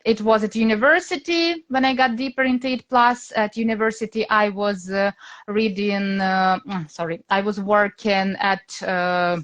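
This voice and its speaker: A woman with a Ukrainian accent talks through her story in English as an interview guest. She speaks in a medium pitch and often stops to find the right word, filling the gaps with "uh" and "like". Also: fairly even intonation, normal speed, a bit bright. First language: Russian. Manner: hesitant, searching for words, many fillers. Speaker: guest teacher. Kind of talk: casual interview over a live stream.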